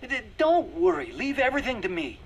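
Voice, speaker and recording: A man's voice: high-pitched, huge pitch swings, brisk, husky, exaggerated voice. A man playing an eager, optimistic, earnest scientist on a military base in a video game audio drama. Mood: eager, reassuring. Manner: earnest, persuasive.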